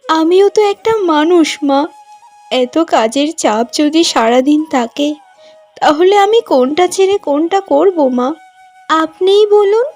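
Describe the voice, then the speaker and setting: High-pitched, dutiful young bride played by a woman. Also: animated, measured pace, a little mellow. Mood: pleading. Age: young adult. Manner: pleading, deferential. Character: patient, dutiful young village bride, sweet and pleading. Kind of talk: cartoon voice acting. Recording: music behind the voice.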